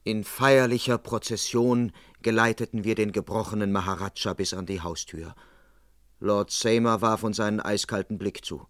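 Measured police constable narrator played by a man. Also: lowish voice, fairly even intonation, dry recording, clean studio sound, lightly acted. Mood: measured narrating. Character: dutiful young police constable narrating a comic crime case. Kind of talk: German radio crime play narration.

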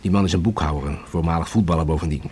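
An actor playing a sober, measured, mildly wry police detective in a Dutch radio play. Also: male voice, low-pitched, darkish tone, lightly acted. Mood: measured reporting.

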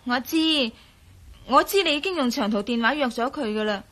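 Flustered office lady in a radio play, hong kong cantonese, high voice, polite but insistent. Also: moderate pace, fairly bright tone. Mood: apologetic. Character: flustered, polite female university receptionist. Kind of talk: radio drama acting.

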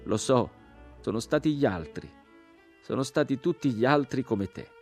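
Gaunt crucified man, acted out by a male narrator, bitter, mocking, declamatory, voice pushed well above his low register, music behind. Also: animated, normal speed, darkish tone, lightly acted. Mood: bitter accusation. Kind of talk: dramatized literary audiobook.

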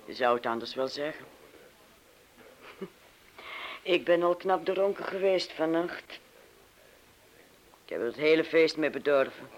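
A man's voice: a little high, lively intonation, measured pace, long pauses, poor audio. An actor playing a blunt, cynical young man in a radio play, probing in manner. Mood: probing, teasing.

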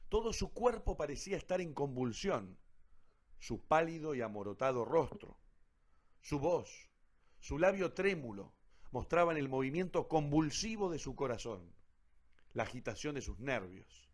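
A man's voice: on the high side, very animated, measured pace. A man reading scripted, dramatic narration as the narrator of a radio play.

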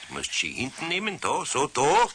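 A man's voice: fairly high pitch, wildly swooping pitch, normal speed. An actor playing a grumbling but indulgent older master craftsman with a Bavarian accent in a children's radio play. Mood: resigned, instructing. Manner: scolding, grumbling, giving in. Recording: 1970s radio play, faint noise.

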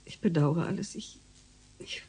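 An actress playing a middle-aged female politician and guilt-ridden mother in a radio drama, breathy, with halting, emotional delivery. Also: deep voice, dark tone, whispered, exaggerated voice. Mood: grieving, disbelieving. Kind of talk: naturalistic German radio drama acting.

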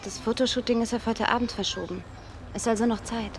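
A woman's voice: fairly high pitch, steady tone, a little fast, noisy background, natural voice. An actress playing a teasing, bold young woman in a radio drama, coaxing and playful.

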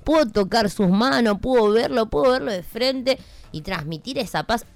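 A woman's voice: fairly high pitch, lively intonation, normal speed, dry recording. A woman with a Rioplatense Spanish accent hosting a radio show, introducing a guest with playful, teasing banter. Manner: teasing, laughs often. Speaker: radio host.

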